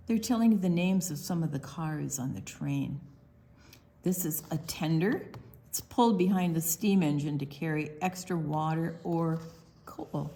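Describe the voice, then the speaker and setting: A woman's voice: low-pitched, darkish tone. An older woman reading a picture book aloud to young children, drawing out words expressively and adding explanatory asides. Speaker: storytime reader.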